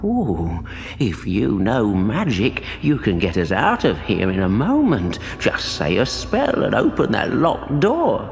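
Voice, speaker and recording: A man's voice: lowish voice, wildly swooping pitch, slow, creaky, in a large hall, full character voice. A man voicing a bedraggled, plaintive old woodcutter held prisoner, in a children's audiobook. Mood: hopeful. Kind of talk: audiobook character voice.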